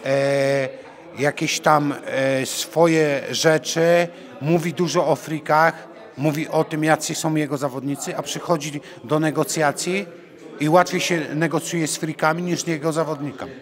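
A man's voice: a little high, fairly even intonation, a little slow. A man, a fighter giving a conversational interview in a blunt, challenging manner into a handheld microphone. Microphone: handheld reporter microphone. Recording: crowd chatter in the background.

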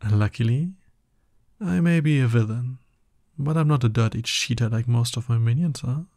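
A man's voice: medium pitch, moderate pace, darkish tone, no echo, studio quality, close to an everyday voice. A man playing a smug, dominant villain in an ASMR roleplay, taunting his hero nemesis with drawling exasperation. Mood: cold, teasing.

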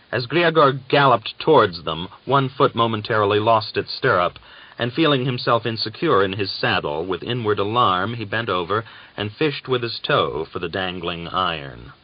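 A man narrating a novel as an audiobook, with a measured, deliberate and dramatic literary delivery. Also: on the low side. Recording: dull, lo-fi audiobook recording.

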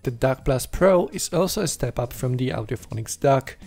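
A man's voice: mid-range voice, expressive, normal speed, creaky, darkish tone. A man hosting a tech video, reading a scripted how-to voice-over. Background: background music.

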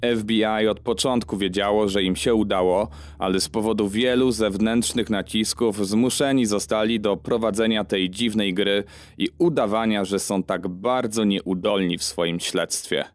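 A man voicing an investigative journalist in a true-crime audio documentary. He explains his theory in a fairly low voice. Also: steady tone, normal speed, no echo, natural voice. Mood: explaining.

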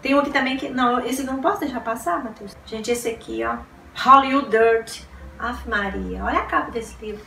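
Woman in her 40s, vlog host; high, bright, excitable; roomy, slightly distant room sound with faint hiss. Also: moderate pace, creaky. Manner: gushing, exclaims and repeats words. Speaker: book vlogger. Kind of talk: casual chat to camera. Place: small decorated room indoors.

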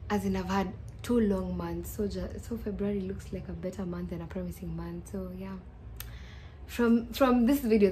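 A woman's voice: medium pitch, warm tone, a little hiss or hum, low-quality recording. A young woman in her twenties talking to her phone camera in a small empty apartment, chatty and upbeat, thinking aloud with small restarts. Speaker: vlogger. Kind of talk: casual vlog to camera.

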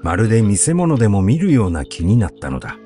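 A man reading a classic literary essay aloud as narrator, with measured, expressive storytelling delivery. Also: fairly low pitch. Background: background music.

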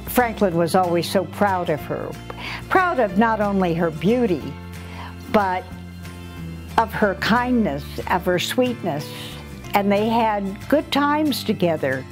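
Older woman interviewee, unhurried, fond delivery with clear music underneath. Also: medium pitch, expressive. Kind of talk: fond documentary interview reminiscence.

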